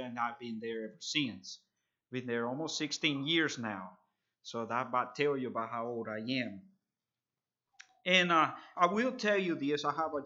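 Earnest preacher, bright voice. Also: male voice, mid-range voice, highs cut off. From El Salvador. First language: Spanish. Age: 30s. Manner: halting, earnest, with frequent fillers. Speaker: visiting preacher. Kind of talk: sermon.

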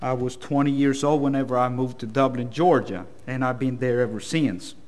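A man's voice: mid-range voice, moderate pace, darkish tone. A man from El Salvador with a Salvadoran Spanish accent, preaching a sermon in a plain-spoken, somewhat hesitant and self-deprecating manner.

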